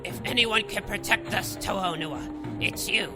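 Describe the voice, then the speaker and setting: A man's voice: sits high, lively intonation, a little slow, music in the background. A man voicing a kindly, respectful old village elder in a dramatized audiobook. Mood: worried, respectful.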